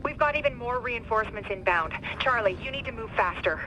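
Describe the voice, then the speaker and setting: An actress playing a steely, tense mission coordinator in a game, giving clipped, urgent orders with authority. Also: female voice, on the high side, lively intonation, brisk, sharp tone, slight background noise, low-quality recording. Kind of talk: dramatic game voice acting.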